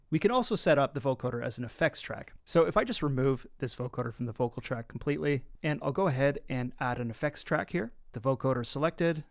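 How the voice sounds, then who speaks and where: Man presenting a software tutorial. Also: medium pitch, vocal fry, no room sound, studio quality. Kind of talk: instructional tutorial narration.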